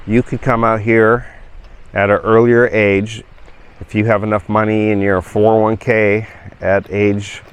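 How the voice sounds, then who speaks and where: Smooth-voiced fifty-year-old man rambling. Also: fairly low pitch. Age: 50s. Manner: rambling, relaxed, with frequent fillers and laughs. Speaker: travel vlogger. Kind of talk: casual walk-and-talk vlog.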